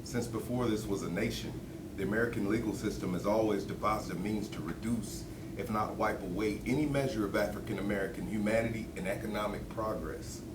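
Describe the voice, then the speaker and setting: A man's voice: fairly low pitch, a little fast, close to an everyday voice. A man at a table read playing an outspoken man debating history in a conversational, emphatic way. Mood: indignant. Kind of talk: script table read of docudrama dialogue. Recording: roomy, distant mic, noisy background.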